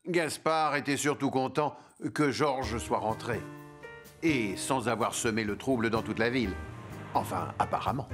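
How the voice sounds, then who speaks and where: A man narrating a children's cartoon as a wry, playful storyteller with expressive delivery. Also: mid-range voice, unhurried, music in the background, close to an everyday voice.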